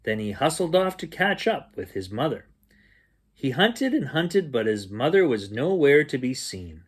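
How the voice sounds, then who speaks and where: A man reading a children's picture book aloud as an expressive bedtime storyteller. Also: medium pitch, a little slow, slightly rough. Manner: expressive, acts out sounds and dialogue. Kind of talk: animated bedtime story reading with playful asides.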